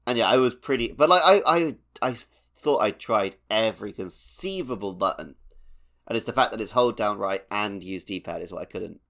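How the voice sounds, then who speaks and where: Low-voiced man chatting casually. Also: lively intonation, moderate pace, fairly bright tone. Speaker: podcast co-host. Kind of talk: casual video game discussion.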